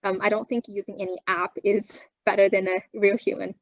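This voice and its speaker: Young woman from China, native Chinese speaker, interview guest; measured and reflective, mid-range voice, dry close sound. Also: medium pitch, flat delivery, no echo, highs cut off. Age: young adult. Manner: measured, thoughtful, frequent um fillers. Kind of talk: interview answers.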